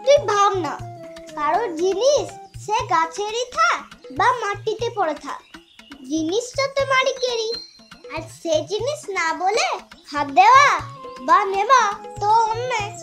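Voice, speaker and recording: A woman's voice: very high-pitched, lively intonation, a little slow, pauses often, music in the background. A woman voicing a clever, bossy little village sister in a children's cartoon, chiding and explaining things. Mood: earnest, explaining. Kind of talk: children's moral-story cartoon acting.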